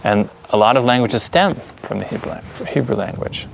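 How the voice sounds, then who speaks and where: An American man, a guest, calmly telling personal stories in an interview. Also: medium pitch, normal speed, vocal fry, a little hiss or hum. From United States.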